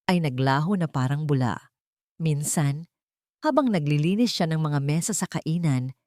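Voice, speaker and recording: Female audiobook narrator for scripted drama, low register, dry studio-like sound. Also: dry recording.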